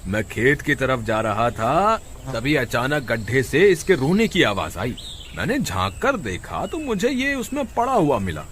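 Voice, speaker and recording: A man's voice: medium pitch, sing-song, measured pace, fairly bright tone. A man voicing a caring, earnest village farmer in a children's cartoon story. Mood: kindly, eager. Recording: noisy background.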